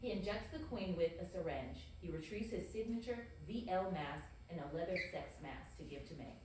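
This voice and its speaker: A woman's voice: on the low side, lively intonation, moderate pace. A woman reading out stage directions as narrator in scripted narration at a comedy table read. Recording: very echoey room, distant mic, faint hiss.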